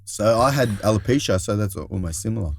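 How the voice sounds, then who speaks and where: A man's voice: on the low side, fast, creaky, no room sound. A man co-hosting a podcast, chatting in a laid-back, blokey way with loose phrasing and swearing. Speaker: podcast co-host. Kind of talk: casual banter, swearing. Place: vintage caravan turned studio.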